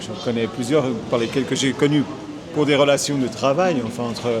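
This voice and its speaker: A man's voice: medium pitch, fairly even intonation, fairly quick, crowd noise. A man from Switzerland, chatting casually and a bit ramblingly in a street interview. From Geneva, Switzerland. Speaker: passer-by being interviewed. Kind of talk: casual chat. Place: outdoors on a city street. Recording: busy outdoor street with people around.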